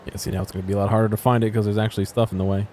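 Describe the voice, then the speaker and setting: Low-voiced man musing over gameplay. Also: level tone, very fast, darkish tone, slight background noise. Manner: musing, thinking aloud. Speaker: video game explorer and commentator. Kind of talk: casual unscripted gameplay commentary.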